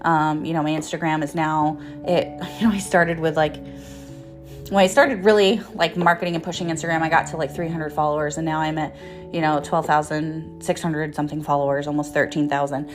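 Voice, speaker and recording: A woman in her thirties talks with a slightly low voice over faint music. She delivers a casual personal monologue like a motivational speaker among friends. Her manner is candid and rambling, with free swearing. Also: steady tone, fairly quick. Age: 30s. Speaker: host, author and motivational speaker.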